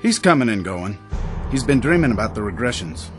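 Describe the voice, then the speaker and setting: A man's voice: fairly low pitch, sing-song, a little fast. An actor playing a casual, mocking henchman with an offhand, colloquial way of talking, in a radio drama. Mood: offhand, grumbling. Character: casual, mocking male henchman guarding a captive. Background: music.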